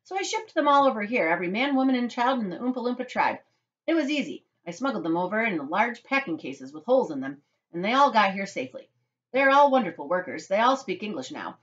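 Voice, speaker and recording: A woman voicing an eccentric, excitable man who owns a chocolate factory, telling things theatrically and emphatically in a children's storybook. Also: medium pitch, fast talker, muffled recording, voice pushed up, lightly acted. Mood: enthusiastic.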